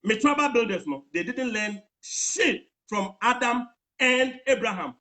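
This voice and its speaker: Man in his thirties, insistent debater on a phone video call, high and sharp voice. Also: lively intonation, a little slow. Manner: insistent, talks over the other, repeats words. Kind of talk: heated casual debate. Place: bright room indoors. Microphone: phone camera at arm's length, video call.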